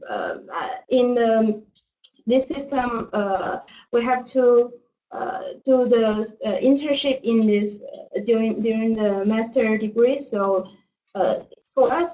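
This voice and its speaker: Student from China, female, halting delivery, recorded from a distance, lo-fi. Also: fairly high pitch, darkish tone. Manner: hesitant, many fillers, laughs easily. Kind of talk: webinar testimony. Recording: distant mic, dull lo-fi sound.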